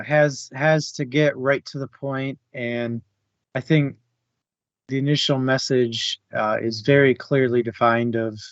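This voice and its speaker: A man with a mid-pitched voice hosts a casual book talk. He speaks in measured, halting phrases. The recording is dry and a little dull, with a lo-fi finish. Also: a bit bright, no echo. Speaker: host of a book discussion show. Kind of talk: casual book discussion for a live stream.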